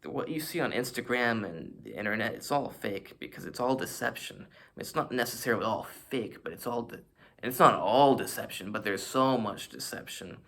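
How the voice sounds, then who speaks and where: A man's voice: mid-range voice, animated, normal speed, gravelly, fairly bright tone. A man vlogging about fitness, talking to a camera in front of him in a rambling, self-mocking way as he shows off poses. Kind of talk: casual rambling monologue to camera.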